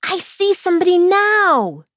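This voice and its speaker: A woman's voice: sits high, very animated, slow pace, no echo, clean studio sound, voice pushed up, exaggerated voice. A woman voicing a curious, polite young girl in an audiobook, raising her voice eagerly.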